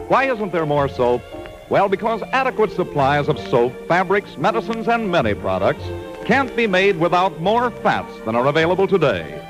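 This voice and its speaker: A male American announcer in 1940s radio style, pitched high and very animated. He reads from a script over music on a vintage broadcast recording. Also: low-quality recording.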